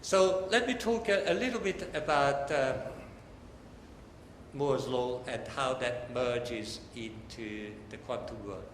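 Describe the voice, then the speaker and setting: An older man giving a technical academic lecture in a halting, deliberate way. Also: medium pitch. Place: large lecture hall. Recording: echoey hall with long reverb, background noise.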